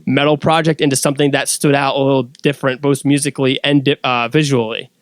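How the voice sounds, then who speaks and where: A man's voice: medium pitch, level tone, quick pace, no echo. A man telling stories in a casual, wordy, informal way as a podcast guest. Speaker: podcast guest and former band member. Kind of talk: casual conversational storytelling.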